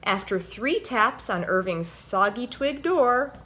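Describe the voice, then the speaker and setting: A woman presenting and reading a children's story aloud in an expressive, clearly told storytelling manner. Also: a little high, slow.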